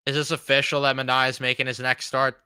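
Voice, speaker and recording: Blunt, bright-voiced young man ranting. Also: medium pitch, monotone, quick pace, clear, resonant tone, no room sound. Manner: blunt, frustrated, swears freely. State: fighting a cold. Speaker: sports fan show host. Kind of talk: casual reaction commentary.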